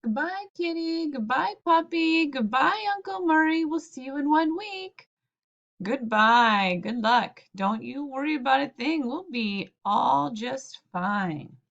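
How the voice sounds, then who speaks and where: A woman voicing a cheerful storybook parent saying goodbye, bright, reassuring and sing-song, on a slightly dull lo-fi recording. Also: high voice, lively intonation, takes it slowly, creaky, voice pushed up, exaggerated voice. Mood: cheery. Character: cheery departing parent waving goodbye to pets.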